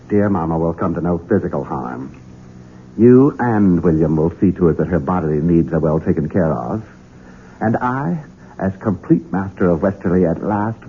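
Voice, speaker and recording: An actor playing a scheming young Southern heir in a 1970s radio drama, with theatrical, mockingly polite delivery. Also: male voice, low voice, lively intonation, moderate pace, slight background noise. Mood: coldly menacing. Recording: muffled old radio recording with music.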